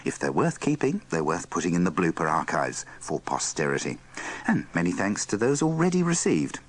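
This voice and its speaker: A man's voice: deep voice, huge pitch swings, normal speed. A British man presenting a radio bloopers compilation with dry, tongue-in-cheek scripted links. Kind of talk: wry scripted links between archive clips.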